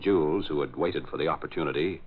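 A man's voice: a bass voice, fast talker, slightly rough. A man announcing and narrating in formal, emphatic, dramatic 1940s radio style. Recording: dull vintage radio recording.

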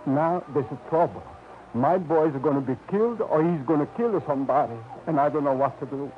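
A man's voice: fairly high pitch, brisk, slight background noise, close to an everyday voice. An actor playing a worried old Italian immigrant father with an Italian accent and broken English, pleading anxiously, in 1940s radio acting. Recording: muffled old radio recording.

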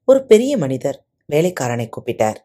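A woman hosting a podcast, narrating a short moral story with expressive delivery. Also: low-pitched, normal speed.

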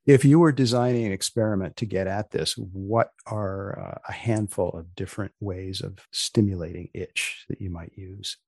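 A man hosting a research podcast in a conversational way, with deliberate, dry-humored phrasing. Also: deep voice, darkish tone, no room sound. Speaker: podcast host. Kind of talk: conversational interview about research.

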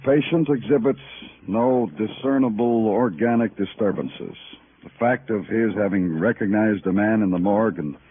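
An actor playing a relentless police detective with a measured, insinuating, coolly polite manner, in 1950s radio crime drama. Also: male voice, on the low side, lightly acted. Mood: coolly pressing. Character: quiet, relentless male police detective interrogating suspects. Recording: tinny, noisy old radio recording.

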